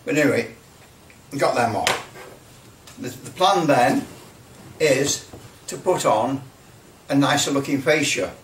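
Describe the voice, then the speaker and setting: A man giving a casual hands-on demonstration, halting and thinking aloud as he repeats phrases. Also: medium pitch. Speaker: presenter of a hobby project. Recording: roomy, distant mic, faint noise.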